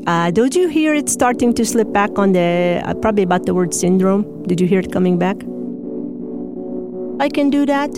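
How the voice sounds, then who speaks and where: A woman with a foreign-sounding accent tells a personal story in an emotional, halting manner. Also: mid-range voice, animated, over music. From Indiana, USA. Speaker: storyteller, interviewee.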